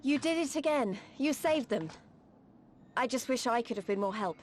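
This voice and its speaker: A woman's voice: a little high, lively intonation, moderate pace, a little mellow, slight background noise, lightly acted. An actress playing a fervent, plain-spoken young woman fighter in a video game, direct and heartfelt. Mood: rueful.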